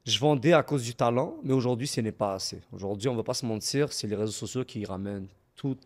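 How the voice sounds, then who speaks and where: A young man from Montreal with a Québécois French accent speaks in a casual interview. His voice sits at an ordinary mid-range pitch. He talks frankly and stresses his points with repeated words. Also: fast talker, darkish tone. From Montreal, Canada. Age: 20s. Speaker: guest, professional boxer.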